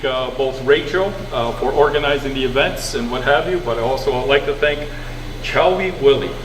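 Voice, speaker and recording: A man hosting a community meeting, introducing speakers in a casual, joking way. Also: medium pitch. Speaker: meeting host. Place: community recreation hall. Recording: distant, echoey hall recording with background hum.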